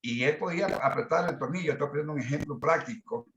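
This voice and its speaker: A man in his mid-sixties with an Ecuadorian accent, recounting his work experience in a halting way. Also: on the high side, fairly bright tone. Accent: Ecuadorian Spanish. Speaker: masterclass participant, management consultant. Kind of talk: introducing himself and sharing work experience. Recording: muffled, roomy online call audio.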